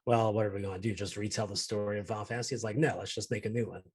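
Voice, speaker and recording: A man's voice: on the low side, fairly even intonation, very fast, darkish tone, slightly rough. A man giving emphatic opinions in a casual chat, conversational and free with swearing. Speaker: podcast panelist discussing films and games.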